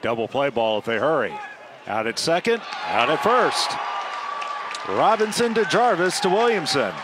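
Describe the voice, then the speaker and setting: A man's voice: on the high side, sing-song, moderate pace, a loud crowd. A man doing live baseball play-by-play and interviewing a guest from the broadcast booth. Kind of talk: live sports commentary mixed with interview. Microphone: broadcast headset. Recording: clear outdoor ballpark noise behind voice.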